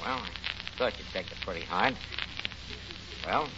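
An actor playing a self-pitying, grandiose tavern manager with a New York accent in 1940s radio comedy style. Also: male voice, sits low, lively intonation, unhurried, vocal fry, exaggerated voice. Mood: hammy pleading. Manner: melodramatic, malaprop-prone, pompous. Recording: noisy, dull 1940s radio recording.

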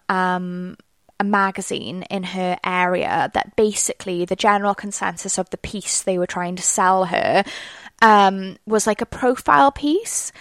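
A woman's voice: mid-range voice, dry recording. A young Welsh woman hosting a solo podcast, chatting in a rambling way full of fillers. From Wales. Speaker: podcast host. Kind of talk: casual solo podcast monologue.

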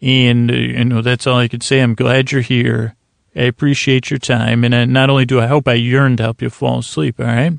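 A man with a gravelly, creaky voice in a close, dry recording delivers a soothing bedtime monologue. He rambles gently, stammering and trailing off into half-finished thoughts, at a middling pitch. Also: level tone, no echo. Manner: meandering and halting, stammers and breaks off mid-thought; lulling, mild-mannered. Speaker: host of a bedtime story sleep podcast.

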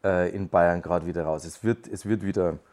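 Laid-back bavarian guy, low mellow voice, restarts his sentences a lot. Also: fairly even intonation, normal speed. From Lower Bavaria, Germany. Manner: easygoing, many restarts and agreeing yeahs. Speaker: podcast guest. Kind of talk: conversational interview.

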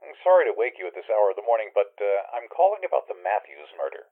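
Grave, formal coroner for radio drama male actor, low and husky, heard down a telephone. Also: very animated, fast. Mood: formal, dry. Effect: telephone filter. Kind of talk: 1940s radio drama acting. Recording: muffled, husky telephone line.

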